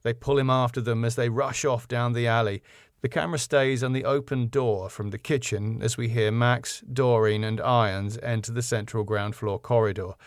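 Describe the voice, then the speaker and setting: A man's voice: mid-range voice, steady tone, moderate pace, dry recording, clean studio sound. A man reading out scene headings and stage directions as scripted narration. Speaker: writer and narrator.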